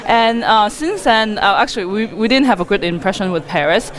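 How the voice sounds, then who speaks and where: Female interviewee, medium pitch, informal and talkative, loosely strung sentences peppered with "like". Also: expressive, brisk. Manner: rambling and chatty, frequent "like" as filler. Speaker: wedding photographer being interviewed. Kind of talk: conversational interview answers.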